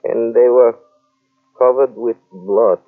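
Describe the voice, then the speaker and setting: A man voices a husband in an old radio thriller, talking with eerie slowness as if still half caught in a nightmare. His words drag out, pausing ominously. The sound is thin and tinny like an old radio transcription. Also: medium pitch, steady tone, lightly acted. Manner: slow, halting, ominous. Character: troubled husband, dreamy and haunted. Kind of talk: 1940s radio acting.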